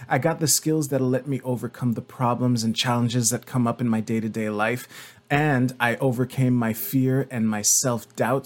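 A man giving a first-person testimonial in a promotional ad read. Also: medium pitch. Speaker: ad presenter.

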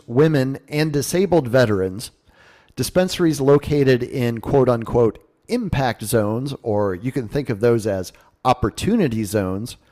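A man's voice: medium pitch, a little mellow. A man hosting a radio show, giving informal, sarcastic and mocking commentary on the news. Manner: informal, salty language. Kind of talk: news commentary show, reading and riffing on an article.

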